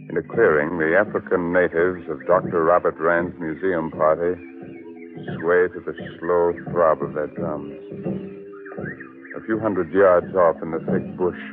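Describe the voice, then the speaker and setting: A man playing a sinister, teasing horror host who tells strange tales on 1940s radio, slowly and ominously. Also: deep voice. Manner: slow, ominous, mock-welcoming drawn-out delivery. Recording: tinny old radio broadcast recording.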